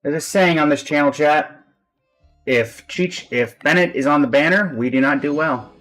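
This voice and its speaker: A man's voice: fairly high pitch, normal speed, a bit bright, poor audio. A man giving casual live-stream game commentary as the host, with a dry, resigned way of talking. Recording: music playing behind the voice. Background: background music.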